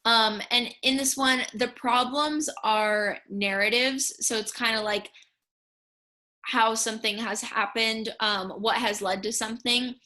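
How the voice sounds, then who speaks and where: A woman's voice: fairly high pitch, fairly even intonation, a little slow, bright, forceful tone. A young woman giving an informal slideshow lesson, explaining things in a casual, slightly tentative way. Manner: casual, tentative, hedging with "kinda" and "I guess". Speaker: speech and debate coach or student instructor.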